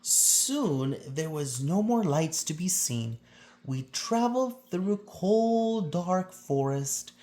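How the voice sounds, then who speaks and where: A woman reading a children's story aloud in an expressive, dramatic way with deliberate phrasing. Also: deep voice. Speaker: storybook reader.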